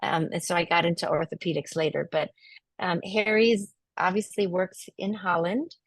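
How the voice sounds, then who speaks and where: A woman's voice: lowish voice, steady tone, normal speed, dry recording. Enthusiastic woman podcast host, dry sound. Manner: enthusiastic, warm, filler "um". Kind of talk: podcast interview.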